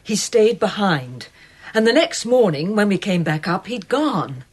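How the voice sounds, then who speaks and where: Female actor as an evasive radio-play clerk, lively husky voice, uneasy. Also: medium pitch, unhurried. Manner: hesitant and evasive. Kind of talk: radio drama acting.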